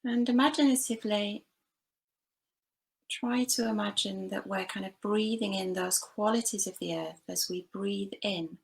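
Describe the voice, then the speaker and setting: Creaky-voiced woman guiding a meditation. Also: on the low side, low-quality recording. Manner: gentle, unhurried instruction with long pauses. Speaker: meditation teacher. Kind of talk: guided body scan meditation.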